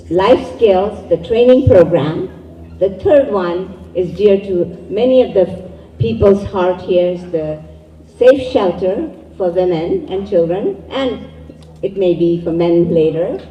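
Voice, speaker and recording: A woman whose native language is Urdu, speaking as an educator and emcee at a community event, giving a measured, formal welcoming speech to an audience. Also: on the low side, dull audio. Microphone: distant room mic. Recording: echoey hall, voice far from mic.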